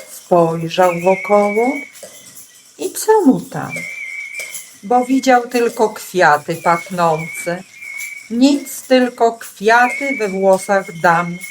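A woman narrating a rhymed children's story with theatrical, deliberate, emphatic phrasing on a noisy amateur recording. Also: on the low side. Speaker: storyteller in a community radio play.

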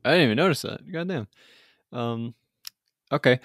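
A man co-hosting a casual podcast recap, drifting through the plot with lots of "like" and false starts. His voice sits in an ordinary middle pitch. The recording is dry and close, with no room sound. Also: lively intonation, normal speed, a bit bright, no room sound. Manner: rambling, lots of "like" and restarts. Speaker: podcast co-host. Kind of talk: casual chat recapping a TV episode.

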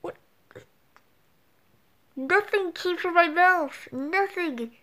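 A woman voicing a flustered, bashful boyish bard with cat ears in an ASMR roleplay, halting and stammering as the character talks to the listener. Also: extremely high pitch, pushed and bright, full character voice. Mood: embarrassed, protesting. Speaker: audio roleplay performer.

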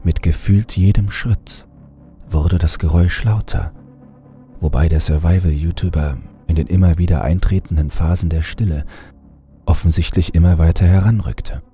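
A man's voice: low-pitched, steady tone, moderate pace, dark tone, faint music behind. A man reading a scripted horror story as narrator.

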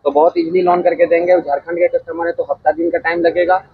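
Fast-talking car dealer, man. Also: a little high, flat delivery, creaky, narrow, tinny audio, slight background noise. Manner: fast, insistent sales patter with filler phrases. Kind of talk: sales pitch walkthrough. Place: outdoor used car lot.

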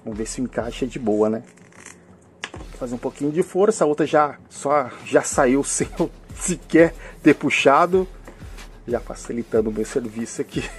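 A man's voice: medium pitch, expressive, moderate pace. Man with a mixed São Paulo and Rio accent, casual enthusiastic reviewer, off-screen camera mic, light music bed. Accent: mixed São Paulo and Rio de Janeiro Brazilian Portuguese. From Brazil. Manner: chatty, fills with "né?" and "hein?", enthusiastic. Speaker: toy reviewer.

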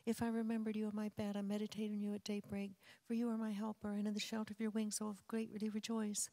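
A woman reading psalms and doxologies in a flat, even liturgical chant. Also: medium pitch, fast talker, rough recording. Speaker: liturgical reader.

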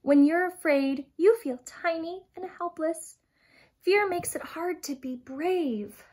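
A woman in her thirties reading a picture book aloud to young children in an expressive, dramatic way, stressing key words and addressing her listeners directly. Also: very high-pitched. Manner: dramatic gasps and emphatic, drawn-out key words. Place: small furnished room at home, indoors.

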